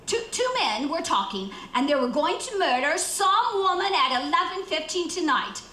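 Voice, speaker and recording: A woman's voice: sits high, sing-song, moderate pace, pushed and bright, reverberant, slight background noise, heavily acted. A woman playing a nervous, imperious bedridden wife in a radio thriller, agitated, stammering and insistent. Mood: frantic, nervous. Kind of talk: theatrical radio thriller acting.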